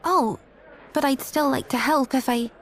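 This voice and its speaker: A woman playing a gentle, compassionate young oracle healer in a fantasy game, with a soft, breathy, hesitant delivery. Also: sits high, a little slow. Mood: eager. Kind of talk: video game cutscene acting.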